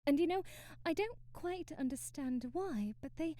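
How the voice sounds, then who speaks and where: A woman's voice: high voice, expressive, normal speed, dark tone, dry recording. A woman playing a timid, devoted young maid in an ASMR roleplay, speaking formally and deferentially with hesitant stammers and period-drama phrasing. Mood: hesitant, hurt.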